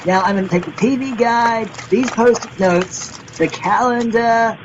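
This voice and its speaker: A man's voice: very high-pitched, a little slow, highs cut off. Eccentric male sleuth , played by an actor, cheeky, stubborn, sure of himself. Audio comedy, faintly noisy. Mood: confident. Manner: cheeky, self-assured, theatrical. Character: eccentric, overconfident young male amateur detective, excitable and stubborn. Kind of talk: comic audio drama acting.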